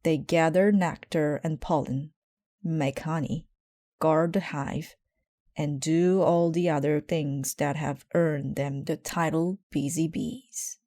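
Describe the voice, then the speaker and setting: A woman narrates a children's storybook at an unhurried pace. Her voice sits a little low and mellow, with a close, dry sound. The delivery is measured and deliberate. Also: steady tone, dry recording. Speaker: storybook reader. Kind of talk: scripted children's story narration, read aloud.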